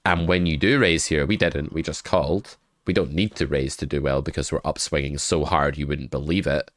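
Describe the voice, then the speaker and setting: A young man with a cold talks through poker hands in a loose, joking way, now and then swearing. His voice sits extremely low and sounds stuffed up. The recording is close and dry, made at a webcam desk setup. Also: fairly even intonation, moderate pace, no room sound. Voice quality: very deep voice. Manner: chatty, joking, swears casually. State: congested with a cold. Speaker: poker coach. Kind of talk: casual poker hand review.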